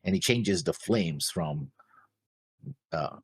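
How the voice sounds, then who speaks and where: Low, husky man with a swinging pitch. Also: lively intonation, slow pace, no echo. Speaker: reaction show co-host. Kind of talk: casual chat about an anime episode.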